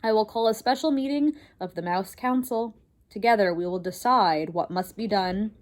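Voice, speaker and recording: A woman voicing an anxious, blustering father mouse who shouts dramatically in despair. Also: medium pitch, normal speed, lightly acted. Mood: solemn.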